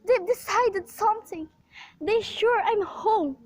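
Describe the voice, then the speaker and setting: Actress as demanding, nervy invalid woman for a radio thriller; very high voice, imperious, agitated, repeats herself in exasperation. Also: slow, poor audio. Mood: fearful, tearful. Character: nervous, demanding, bedridden invalid woman, panicky and irate. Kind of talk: amateur radio play suspense acting.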